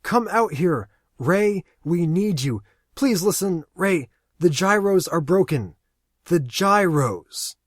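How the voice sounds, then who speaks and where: A man voicing a desperate young man who shouts and pleads in a raised, strained voice. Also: high-pitched, expressive, slow, no room sound, studio quality, voice pushed up, heavily acted. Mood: frantic.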